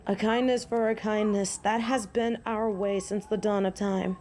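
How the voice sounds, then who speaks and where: A woman's voice: mid-range voice, steady tone, normal speed, darkish tone, slight background noise, lightly acted. Proud, formal werewolf woman, voiced by an actress. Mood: solemn pleading. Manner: formal, archaic, solemn phrasing. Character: proud, honorable female werewolf pleading with human hunters. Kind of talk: dramatic audio drama acting.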